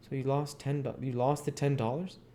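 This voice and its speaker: A man's voice: mid-range voice, little pitch movement, normal speed, warm tone, voice pushed up, lightly acted. A man voicing a curious, plain-spoken storybook runaway boy with a folksy, inquisitive manner. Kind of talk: storybook character reading. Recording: audible background noise.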